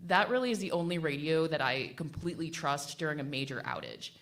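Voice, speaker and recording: A woman's voice: low-pitched, fairly bright tone. A woman talking casually as a radio panelist, rambling and correcting herself with offhand asides. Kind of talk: spontaneous, casual panel talk. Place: live panel discussion at a venue.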